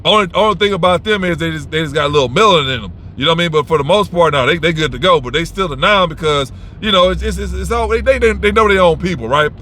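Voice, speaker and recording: A man's voice: a little high, quick pace, few pauses, pushed and bright, a little hiss or hum. A man giving advice in a casual, unscripted monologue, blunt and rambling, swearing freely and restarting his sentences.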